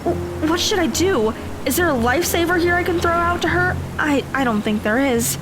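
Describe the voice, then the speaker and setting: A woman's voice: very high-pitched, expressive, normal speed. A woman playing an anxious, self-questioning teenage girl who frets and thinks aloud to herself in an audio drama. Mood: panicked, urgent. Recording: stormy ambience noise behind voice.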